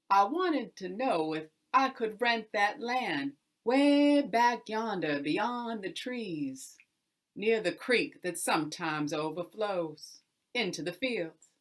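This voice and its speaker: A woman's voice: medium pitch, animated, takes it slowly, pauses often, voice pushed up. A woman storyteller voicing a polite, crafty male tenant farmer in a folk tale, courteous and slyly cheerful. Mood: polite, careful.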